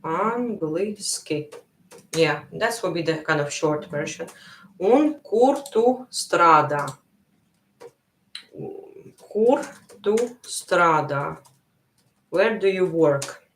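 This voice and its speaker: Slow, patient Latvian woman teacher. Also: on the low side, lively intonation, roomy sound, slight background noise. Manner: slow, patient, repeats phrases for learners. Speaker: language teacher. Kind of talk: live online language lesson.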